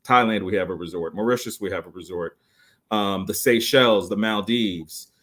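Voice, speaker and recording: Low-voiced man pitching resorts. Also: normal speed, fairly bright tone. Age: 40s. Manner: enthusiastic salesy pitch with many fillers. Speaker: resort sales guest. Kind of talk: podcast interview.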